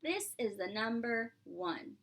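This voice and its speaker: A woman preschool teacher reading aloud to young children, expressive and playful, explaining simply and asking questions as she goes. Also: fairly high pitch, creaky, a little hiss or hum. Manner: expressive, drawn-out, playful exclamations.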